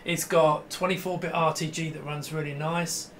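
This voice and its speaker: High-pitched, bright-voiced middle-aged man. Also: flat delivery, normal speed, slight background noise. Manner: enthusiastic, rambling, often breaking off mid-sentence. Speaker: host demonstrating a vintage computer. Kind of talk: casual hands-on demo talk to camera. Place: small indoor home room with computer gear.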